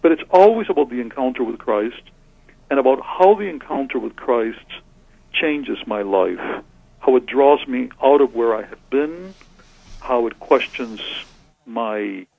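A soft-spoken man in his sixties, originally from Cuba, thinks aloud and often restarts his sentences. His voice is gravelly and a little husky. It comes through a thin, phone-like line with a dry, close sound. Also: medium pitch, lively intonation, measured pace, dry recording. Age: 60s. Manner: soft-spoken, reflective, frequent hesitations and restarts. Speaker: Trappist monk and author. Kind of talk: thoughtful interview answers.

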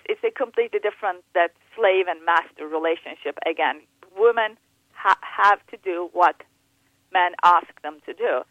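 A woman speaks over a thin, tinny phone line, her voice close and dry. She talks haltingly, filling pauses with ums and ahs and restarting her sentences. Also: fairly low pitch, dry recording. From Turkey. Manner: hesitant, many fillers and restarts. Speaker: guest. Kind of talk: interview on faith and upbringing.

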